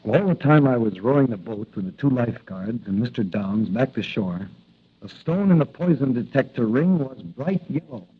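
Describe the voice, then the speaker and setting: A man's voice: mid-range voice, lively intonation, normal speed, breathy edge. An actor playing a brave, determined young patrolman who secretly fights crime, in 1940s radio drama style. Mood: earnest, convinced. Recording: tinny, band-limited old radio recording.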